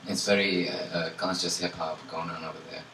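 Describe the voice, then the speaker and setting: A man from Tunisia, a guest musician answering audience questions in a relaxed way, full of "you know" and fillers. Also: low-pitched, a little fast. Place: indoor salon event. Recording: echoey room from far off, faint noise.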